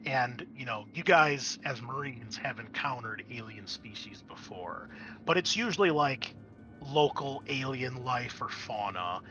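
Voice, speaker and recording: Bright-voiced man running a tabletop game, noisy background. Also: medium pitch, expressive. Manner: halting, thinking aloud. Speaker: game master. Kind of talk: narrating a tabletop game, casual and improvised. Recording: clear background noise.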